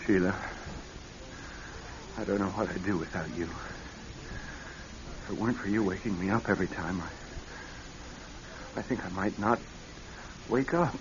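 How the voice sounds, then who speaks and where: An actor playing a haunted, edgy husband in a radio drama, troubled and strained, swinging from subdued to snappish. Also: male voice, low voice, measured pace, pauses often. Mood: weary, haunted. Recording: muffled, hissy old radio recording.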